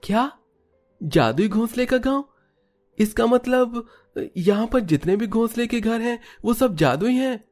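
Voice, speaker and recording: A man's voice: very high voice, expressive, unhurried, darkish tone, faint music behind, pitched above the performer's own voice. A man voicing an astonished, curious young village visitor in a Hindi cartoon story, exclaiming and questioning in wonder. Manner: exclamatory, wide-eyed questioning.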